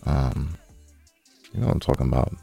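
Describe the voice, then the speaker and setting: A man's voice: a bass voice, animated, moderate pace, gravelly, mellow, slightly rough, no room sound, soft background music. A man co-hosting a podcast in casual, slangy banter, joking and swearing freely. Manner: slangy, joking, profane, laughs often. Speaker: podcast co-host.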